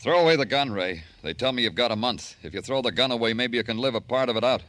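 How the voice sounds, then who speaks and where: An actor playing a terse, brooding American police lieutenant in an old-time radio crime drama. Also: male voice, mid-range voice, lively intonation, quick pace, a bit bright, no room sound, close to an everyday voice. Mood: commanding. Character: world-weary hard-boiled male police lieutenant, narrating his case.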